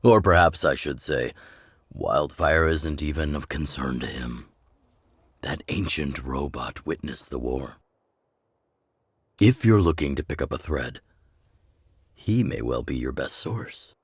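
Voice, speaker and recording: A man playing a gruff but kindly older underground leader in a video game, with a gravelly voice and an unhurried, avuncular manner. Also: rumbling low, no room sound, studio quality, exaggerated voice. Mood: grave, measured. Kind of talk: video game story dialogue. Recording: clear music under the voice.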